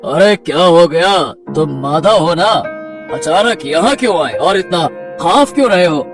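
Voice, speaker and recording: A man's voice: on the high side, lively intonation, unhurried, music in the background. A man voicing a concerned, commanding king in a Hindi cartoon folktale, with an authoritative, questioning manner. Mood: puzzled. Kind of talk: Hindi cartoon moral story acting.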